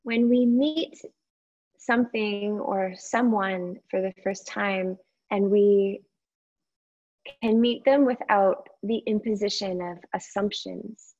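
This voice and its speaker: A woman yoga teacher guides a meditation in a mid-pitched voice. She speaks slowly and gently, leaving long gaps between short phrases. The recording is somewhat muffled and lo-fi. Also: steady tone.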